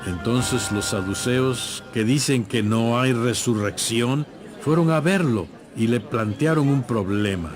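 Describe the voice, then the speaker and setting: A man's voice: mid-range voice, measured pace. A man reading scripted narration for a dramatized audio Bible. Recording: music playing behind.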